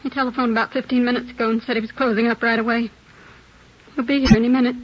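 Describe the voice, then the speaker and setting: A woman playing a fretful mother of a missing child in a 1940s radio drama, with a breathy voice. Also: a little high, flat delivery, fast talker, natural voice. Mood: anxious. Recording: dull 1940s radio recording.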